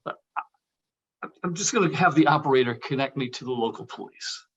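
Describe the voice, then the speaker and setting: A male actor plays an aging, anxious schemer in a comedy script. He sounds dry and sardonic, with a hesitant, everyday delivery and a mid-pitched voice. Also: lively intonation, brisk, a bit bright, husky, lo-fi sound. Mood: nervous, hesitant. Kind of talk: table read of a comedy script.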